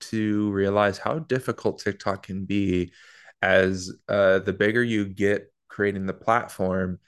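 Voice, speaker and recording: A man's voice: fairly low pitch, a little slow, clear, resonant tone. A man in his thirties hosting a podcast, talking casually and chattily, often restarting his sentences. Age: 30s. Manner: relaxed, chatty, with many repeated starts. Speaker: podcast host.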